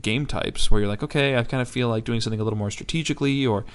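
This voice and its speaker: A man's voice: medium pitch, racing, clear, resonant tone. A man on a gaming podcast explaining his views in an easygoing, casual chat. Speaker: podcast co-host.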